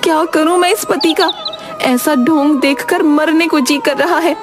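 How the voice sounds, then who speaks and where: A theatrical, devout village wife from a cartoon. Her voice is high and exclamatory. Music runs clearly underneath. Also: a little slow. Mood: scolding. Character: sharp-tongued, dramatic, devout village wife. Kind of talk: Hindi children's cartoon voice acting.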